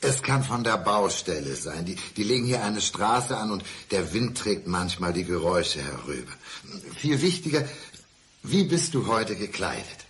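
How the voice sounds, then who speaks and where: A man's voice: lowish voice, sing-song, normal speed, a bit bright, a little hiss or hum. An actor playing a brooding, deceitful, despairing man in a radio crime drama, speaking with intense deliberation. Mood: probing, intense. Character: brooding, deceitful man feigning blindness, despairing, country-dwelling.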